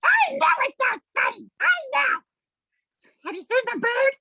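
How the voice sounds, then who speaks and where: An actor voicing a pompous, menacing dog pack leader with a squeaky helium voice, in stilted formal speech at a table read. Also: big pitch swings, moderate pace, bright, forceful tone, no echo, studio quality, exaggerated voice. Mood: irritated. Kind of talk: animated film script table read.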